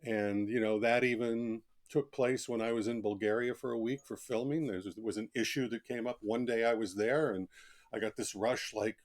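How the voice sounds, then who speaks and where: A man's voice: mid-range voice, fairly even intonation, moderate pace. A man speaking as a guest author in a conversational interview, talkative and reflective, explaining things in plain asides.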